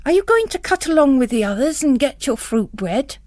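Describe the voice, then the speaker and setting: A woman's voice: high-pitched, very animated, brisk, a little mellow, no room sound, pitched above the performer's own voice. Woman as devout nun, earnest, frank, plain-spoken, storybook drama, dry recording.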